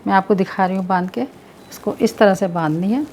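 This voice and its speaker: A woman presenting health tips, calmly explaining a home remedy step by step while showing how it's done, repeating points as she goes. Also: mid-range voice, a little fast, slight background noise. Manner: explains with repetition while demonstrating. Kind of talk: demonstration lesson.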